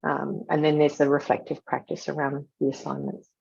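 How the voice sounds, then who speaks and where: A woman's voice: sits low, monotone, moderate pace, creaky, darkish tone, husky. A woman facilitating an online class discussion in an encouraging, slightly rambling way, heard over a video call. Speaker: course facilitator. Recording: dull lo-fi video call audio.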